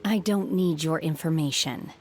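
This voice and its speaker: An aloof young woman warrior from a video game, acted by a woman. Her voice sits a little low, calm and deadpan, with blunt, unhurried deliberation and an undercurrent of menace. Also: soft background music, close to an everyday voice. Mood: cold warning. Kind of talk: animated game voice acting.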